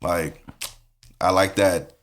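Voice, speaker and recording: Laid-back low-voiced man, casual slang. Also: fairly even intonation, takes it slowly, a bit bright. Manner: laid-back slangy talk, laughs into his points. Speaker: podcast co-host. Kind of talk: casual chat.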